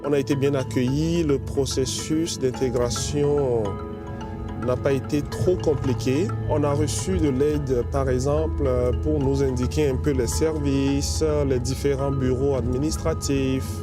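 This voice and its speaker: A man from Benin who works as a bank account manager, speaking in a steady, earnest tone in a testimonial interview. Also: mid-range voice, a little slow, music in the background.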